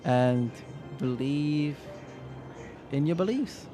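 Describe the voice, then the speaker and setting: A man's voice: a little high, lively intonation, very slow. A male streamer chatting casually while playing a game, talking back to the characters on screen. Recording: game music in the background.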